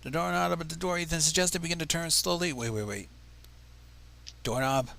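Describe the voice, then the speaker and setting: A man's voice: fairly high pitch, moderate pace, gravelly, sharp tone. A man hosting a reading of fanfiction, breaking off for sarcastic, profane asides. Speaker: fanfiction reader and livestream host. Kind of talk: dramatic reading with mocking asides.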